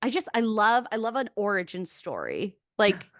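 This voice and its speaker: A young woman chats casually as a podcast co-host. She is candid and animated and swears freely, in a mid-pitched voice recorded close and dry on a podcast microphone. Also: normal speed, no echo. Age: young adult. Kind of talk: casual chat. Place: small indoor room, remote video recording. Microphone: podcast microphone on boom arm, close up.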